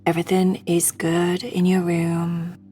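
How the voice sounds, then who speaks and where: A woman guiding a sleep meditation in a hushed, soothing, breathy voice that often nears a whisper. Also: fairly low pitch, monotone, a little slow, faint music behind. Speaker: meditation guide.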